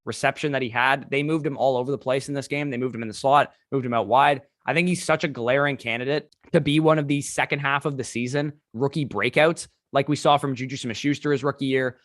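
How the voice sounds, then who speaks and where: Fast-talking hoarse man, dry close sound. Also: medium pitch, a bit bright, no echo. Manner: fast, run-on analysis with restarts. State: hoarse, lost voice. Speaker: fantasy football podcast host. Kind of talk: solo fantasy sports recap.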